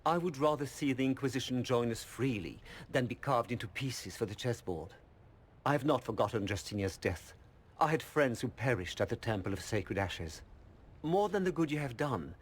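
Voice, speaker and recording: A man playing a smooth, diplomatic nobleman in a video game, formal and courteous. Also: mid-range voice, animated, normal speed. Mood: courteous, earnest. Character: courtly male Orlesian duke, smooth diplomat. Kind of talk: video game cutscene acting.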